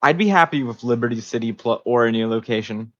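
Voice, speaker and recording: A man's voice: medium pitch, quick pace, a bit bright. Man podcasting through a dull lo-fi mic. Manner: informal, sweary. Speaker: podcast co-host. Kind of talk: casual chat about video games. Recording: dull, lo-fi microphone sound.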